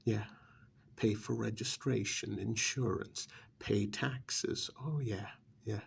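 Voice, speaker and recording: A man's voice: fairly low pitch, steady tone, slow, vocal fry, breathy edge, heavily acted. A man doing an ASMR role-play as a cynical, persuasive recruiter for vampires, sardonic and full of prodding rhetorical questions. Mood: sardonic, needling.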